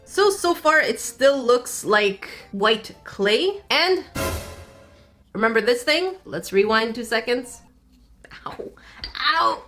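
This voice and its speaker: A woman's voice: fairly high pitch, very animated, slow, pushed and bright, soft background music. A woman hosting a casual craft-kit test vlog from her home craft room, chatty and playful with jokey asides. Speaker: craft channel host.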